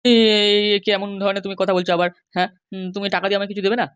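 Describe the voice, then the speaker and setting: A man's voice: high-pitched, level tone, very fast, fairly bright tone, clean tone, dry recording. A man voicing a henpecked, pleading middle-aged cartoon husband with a West Bengal accent. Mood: aggrieved. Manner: wheedling, grumbling. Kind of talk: comic cartoon dialogue.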